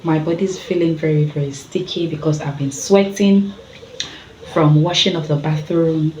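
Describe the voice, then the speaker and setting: A young Nigerian woman with a husky voice and a sore throat, chatting casually to camera and laughing between sentences as a family vlogger. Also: low-pitched, fairly even intonation, moderate pace, darkish tone. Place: room at home, indoors. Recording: echoey room with background noise.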